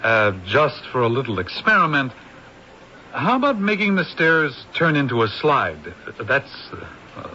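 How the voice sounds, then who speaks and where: An actor playing an eager, fast-talking film producer who hems and haws, in a radio drama. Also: male voice, medium pitch, big pitch swings, pushed and bright, heavily acted. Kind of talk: 1970s radio drama acting. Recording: dull old radio recording with hiss.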